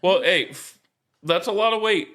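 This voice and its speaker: A man in casual podcast banter, with a slightly high voice and very animated intonation. He teases and needles as a co-host, often exclaiming in mock disbelief. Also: moderate pace.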